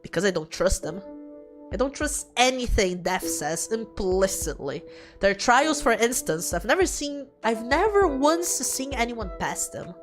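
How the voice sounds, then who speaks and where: A woman's voice: on the high side, very animated, a little slow. A woman voicing a guarded, intense young dead woman in a visual novel, cool, curt and serious. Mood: distrustful. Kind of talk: visual novel character read aloud. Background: background music.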